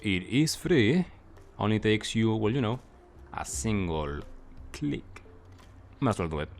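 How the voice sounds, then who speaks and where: A man's voice: fairly low pitch, big pitch swings, a little slow, gravelly, slight background noise. A man doing gameplay commentary as a video creator, explaining in short clipped phrases and often asking himself questions before answering them.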